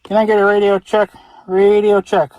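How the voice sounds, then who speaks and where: A man's voice: high voice, monotone, normal speed, a little mellow, low-quality recording. A man chatting off the cuff in a casual, plain-spoken way, swearing offhand as he reviews gear. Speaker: vlogger reviewing radio gear. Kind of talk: casual unscripted product review chat.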